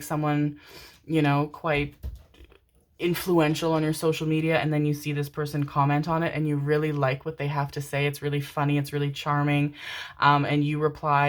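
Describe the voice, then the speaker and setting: A young woman doing a casual tarot reading to camera, chatty and rambling, full of fillers and affectionate pet names. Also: low voice. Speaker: tarot reader.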